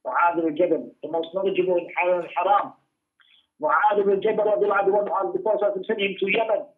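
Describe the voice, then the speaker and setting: A man giving an emphatic religious lesson as a teacher, repeating phrases for stress as he reads and explains texts. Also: high-pitched. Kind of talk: Islamic lesson, reading Arabic texts and explaining them in English. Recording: tinny phone-like radio-style lecture audio.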